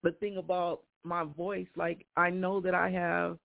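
An older woman, a guest singer, chatting on a radio call-in show with a deep voice and frequent laughter. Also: flat delivery, a little slow, vocal fry. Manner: laughs often, warm exclamations. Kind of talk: casual radio call-in chat. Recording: tinny phone line.

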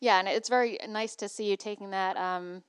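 A woman's voice: mid-range voice, steady tone, fairly quick, smooth, no room sound, clean studio sound. A woman hosting a podcast in a conversational style, introducing and steering an interview. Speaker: podcast host.

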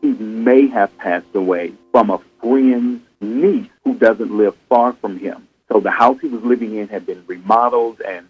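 A man in his fifties, a guest on an interview show, speaking in a measured, soft-spoken way over a video call. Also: on the low side, husky, phone-quality sound, faint music behind. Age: 50s. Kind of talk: casual interview conversation.

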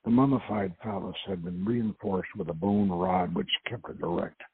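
A man reading an adventure story aloud in a dramatic narrating style, with a husky, gravelly voice and a halting delivery. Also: low voice, no echo. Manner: halting, stumbles and corrects words. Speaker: host reading own novel aloud. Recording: tinny phone-line sound.

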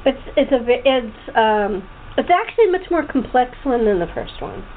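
Over a thin, phone-like connection with faint noise, a woman with a fairly high voice hosts a casual chat show. She speaks haltingly, often stopping and repeating her words as she goes. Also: sing-song. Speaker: host of a talk show. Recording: muffled tinny phone line with faint noise.

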